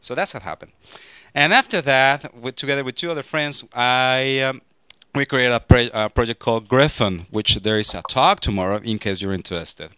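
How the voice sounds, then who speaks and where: A man in his thirties from Mexico with a Mexican Spanish accent, giving a lively, joking technical talk to a conference audience through a handheld microphone. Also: medium pitch, moderate pace, smooth, no echo. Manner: lively, joking, frequent restarts. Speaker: conference speaker.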